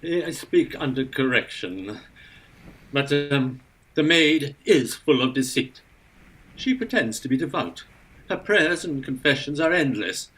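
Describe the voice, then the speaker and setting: Excitable, bright-voiced English priest acted by a man. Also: medium pitch, a little slow, low-quality recording. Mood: chastened, earnest. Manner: earnest, excitable, formal. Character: indignant, narrow-minded English priest, hot-headed patriot. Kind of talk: stage-style radio drama acting.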